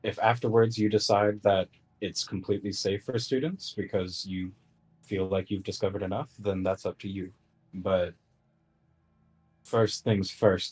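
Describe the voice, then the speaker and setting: Man as a cautious male adventurer, low husky voice, measured, insistent, earnest, tabletop roleplay. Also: a little mellow.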